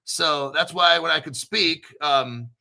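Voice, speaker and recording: Guy ranting about crypto, cussing, kinda high voice with gravel in it. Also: slow, sharp tone. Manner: blunt, profane, rambling with restarts. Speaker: crypto channel host. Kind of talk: casual livestream chat.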